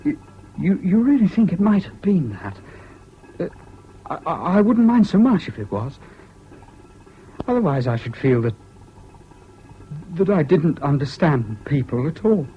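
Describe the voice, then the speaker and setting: An actor in a 1970s British radio drama playing a troubled, self-pitying jilted husband, halting and plaintive, on an old recording. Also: male voice, on the high side, sing-song. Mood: subdued, halting. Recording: dull, faintly noisy old radio recording.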